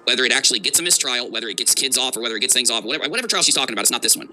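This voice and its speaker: Fast, sharp-voiced sarcastic man. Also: mid-range voice, expressive. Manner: sarcastic, emphatic. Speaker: livestream commentary host. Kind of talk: mocking reaction commentary.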